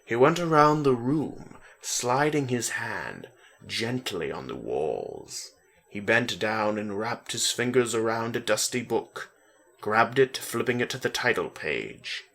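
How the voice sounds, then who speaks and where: A man narrating a story as a slow, deliberate dramatic reading. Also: mid-range voice, very animated, creaky, a bit bright.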